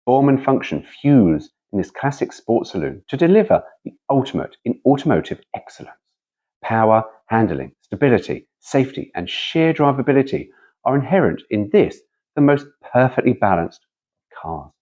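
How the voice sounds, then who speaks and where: A man's voice: mid-range voice, very animated, moderate pace, darkish tone, dull audio. A man hosting a car vlog, chatting casually and reading brochure copy aloud, with playful, rambling asides. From United Kingdom. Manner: rambling, playful, frequent self-interrupting asides.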